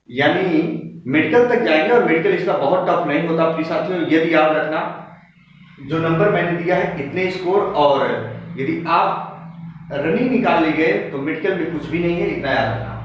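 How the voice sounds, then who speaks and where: A man lecturing like a coaching teacher, explaining figures emphatically and repeating key points to students. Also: fairly high pitch. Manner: emphatic, repetitive, directly addressing students. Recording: very echoey room, distant muffled mic, noisy.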